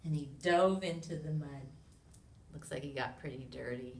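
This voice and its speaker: An American woman reads a picture book aloud to young children in an expressive way, with sound effects, questions and hushed asides to her listeners. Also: low-pitched, moderate pace. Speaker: children's read-along reader. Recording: roomy, distant mic with noise.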